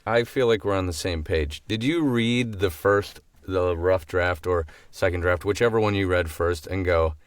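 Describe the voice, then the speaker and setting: A man's voice: low-pitched, moderate pace, hardly any pauses, dry recording. A man co-hosting a podcast with casual, playful, teasing banter. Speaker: podcast co-host.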